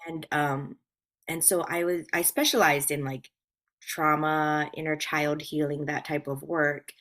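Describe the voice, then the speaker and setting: A low-pitched woman from Hawaii, around her thirties or forties, talks casually about herself as a guest. Her delivery is reflective and informal, like relaxed personal storytelling. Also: measured pace. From Hawaii, USA. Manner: reflective, informal, uses "like" often. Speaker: guest, life coach and former therapist.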